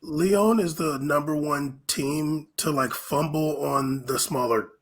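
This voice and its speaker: A man with a fairly high-pitched voice chats as a podcast pundit about sport. His phrasing is loose and halting, with plenty of casual filler as he thinks aloud. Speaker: podcast co-host and soccer pundit.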